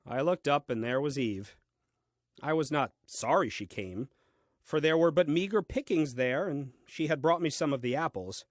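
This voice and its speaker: A man's voice: on the high side, animated, normal speed, no echo, natural voice. An actor playing a grumbling, self-important first man reading his diary in a comic audio play, with dry, fussy delivery. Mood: dryly pompous.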